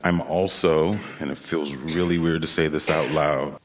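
An American man in his late fifties telling a personal story to an audience in a reflective, conversational way, with pauses for emphasis. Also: extremely low pitch, fairly even intonation. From Rochester, New York, United States. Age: late 50s, nearly sixty.

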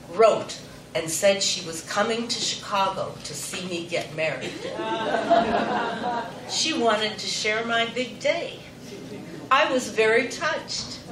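An older woman telling a wry personal story to an audience, unhurried and dry-humored. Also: on the high side. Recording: roomy hall from far off with noise.

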